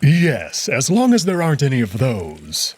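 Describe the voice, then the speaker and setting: A man voicing a gentle, devoted male wolf nobleman who speaks softly and formally. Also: mid-range voice, huge pitch swings, a little slow, husky, exaggerated voice. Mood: tender. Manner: soft-spoken, caring, formal.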